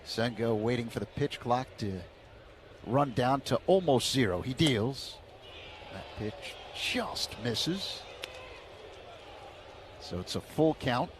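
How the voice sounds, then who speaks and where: A man calling baseball play-by-play on the radio in a steady, measured way. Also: medium pitch, a little hiss or hum.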